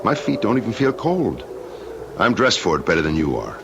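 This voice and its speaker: A man acting an anxious, impatient husband in a vintage radio drama, speaking haltingly and repeating his words. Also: fairly low pitch, sing-song, creaky, muffled recording. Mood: brisk, reassuring. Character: anxious, impatient husband looking after his scared wife. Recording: noisy old radio drama recording.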